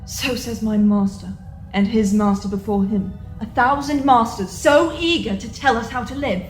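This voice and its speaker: A woman playing a conflicted, defiant young Jedi apprentice in an audio drama, with deliberate, intense delivery. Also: a little high, close to an everyday voice. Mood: bitter, wounded. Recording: echoing reverb with music.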